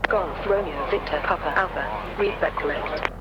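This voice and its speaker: A man voicing a brisk, official air traffic controller in a simulator game, giving clipped, formal instructions over the radio. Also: fairly high pitch. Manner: clipped, procedural. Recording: dull radio channel, loud engine noise.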